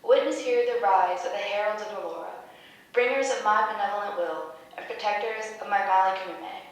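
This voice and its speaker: A woman's voice: medium pitch, fairly quick, lightly acted. A woman playing a serene, benevolent mother nature goddess in a staged play reading, solemn and declamatory. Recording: echoey room from far off.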